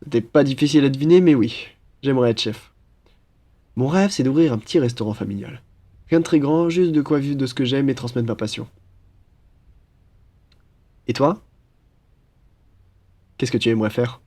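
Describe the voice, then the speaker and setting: A man's voice: mid-range voice, moderate pace, pauses often, dark tone, close to an everyday voice. A cheerful young student played by a man chats one-on-one with a new roommate in an audio roleplay. His voice sits in a medium register, and his manner is playful and teasing, with easy, friendly banter. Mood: friendly, joking. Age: young adult. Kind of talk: ASMR audio roleplay, casual one-sided chat.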